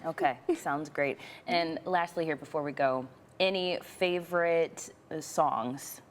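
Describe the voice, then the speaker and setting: A woman's voice: sits low, unhurried. A woman hosting a lifestyle show interview in an upbeat, effusive and affirming broadcast manner.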